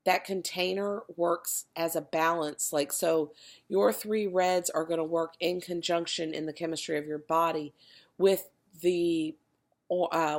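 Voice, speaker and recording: Woman, casual fitness coach, hoarse and gravelly, chatty with fillers, low-ish voice. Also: a little mellow. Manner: chatty, many fillers and restarts. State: losing her voice, cracking. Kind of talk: casual video talk to a group.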